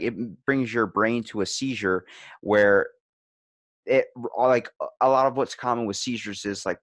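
A man's voice: medium pitch, no echo. A man in a casual podcast chat, rambling as host and animatedly telling stories, acting out the lines he quotes.

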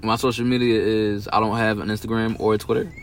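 A man's voice: lowish voice, flat delivery, fast, slight background noise. A young man talking as a podcast guest in laid-back slang, with swearing and dry jokes. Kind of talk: casual podcast chat.

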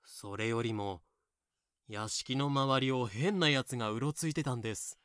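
Overseer, male, mid pitch, blunt masculine speech, gruffly caring, acted by a man. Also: moderate pace, fairly bright tone, no room sound, studio quality, close to an everyday voice. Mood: deferential, weary. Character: rough plantation overseer, gruffly caring, deferential to his mistress. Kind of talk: fan audio drama acting.

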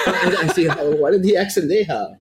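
A man speaking English with a Venezuelan Spanish accent, Spanish being his first language. He talks quickly and chats casually as a podcast guest, often laughing at himself. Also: on the high side. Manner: fast-talking, laughs easily, self-deprecating. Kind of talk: casual interview chat.

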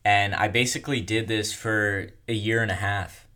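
A young man telling his own story in a casual, rambling way, with a monotone delivery and lots of fillers. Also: on the low side, moderate pace, clear, resonant tone. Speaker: content creator.

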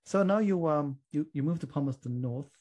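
A man's voice: on the high side, brisk, dark tone, slightly rough. A man interviewing a guest, affirming his answers with enthusiasm before asking the next question. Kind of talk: conversational interview.

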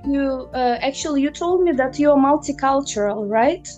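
A woman's voice: sits high, animated, moderate pace, a little mellow, muffled recording, faint music behind. Eager woman podcast host. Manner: eager, enthusiastic. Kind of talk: casual interview chat.

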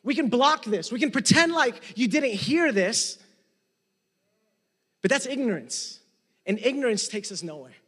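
A man with a Puerto Rican accent preaching a sermon, mixing Spanish with English and swinging from emphatic to hushed. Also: extremely high pitch, animated, slow pace, pauses often, husky. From Puerto Rico. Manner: emphatic, rising and dropping to near hush. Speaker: pastor. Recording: long reverb of a hall.

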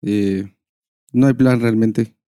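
A man's voice: fairly low pitch, steady tone, takes it slowly, dark tone. A Mexican man co-hosting a podcast, chatting casually in a laid-back way with short, agreeable replies. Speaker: podcast co-host. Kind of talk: casual chat.

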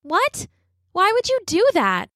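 A woman voicing a sensible young girl, calm and a little smug. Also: very high voice, slow pace, no echo, studio quality, pitched above the performer's own voice, exaggerated voice. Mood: awed.

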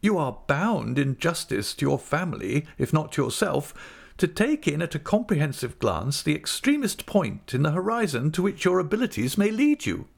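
Man voicing a high-pitched, self-important wife. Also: very animated, normal speed, vocal fry, heavily acted. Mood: admonishing. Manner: affable, businesslike, earnest pronouncements. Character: self-important, doting middle-aged wife with airs. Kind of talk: audiobook character acting.

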